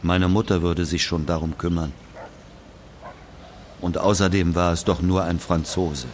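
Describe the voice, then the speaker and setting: A man playing an aging Algerian who confesses an old killing in a brooding, deliberate literary monologue. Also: low voice, fairly even intonation, a little hiss or hum. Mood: grave, confessing. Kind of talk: literary monologue, dramatic audio narration.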